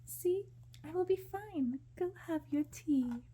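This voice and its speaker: Storybook young lady, played by a female reader: high, girlish, tender, easily frightened, very mellow tone. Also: animated, slow, heavily acted. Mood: sweet. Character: young noblewoman, sweet, naive, easily frightened, adoring.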